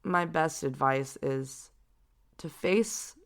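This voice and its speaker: Deep-voiced woman with gravelly fry. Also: very slow. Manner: candid, self-deprecating, chatty with many fillers. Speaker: podcast host. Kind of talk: personal storytelling monologue.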